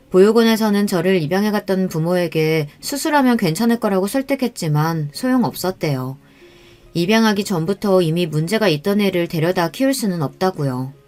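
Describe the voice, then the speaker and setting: Woman narrator, calm, even, first-person story, soft background music. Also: fairly low pitch.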